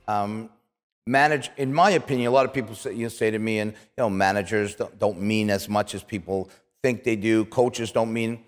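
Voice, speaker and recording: Male interviewer, middle-aged, lower register, casual delivery, reverberant studio. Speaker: talk show host. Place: small indoor studio set with couches.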